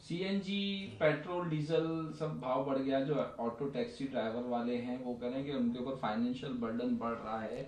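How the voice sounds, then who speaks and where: A man's voice: medium pitch, fairly even intonation, normal speed, hardly any pauses, darkish tone. A man with an Indian accent putting news interview questions as a reporter. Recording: echoey, distant from mic, faint noise.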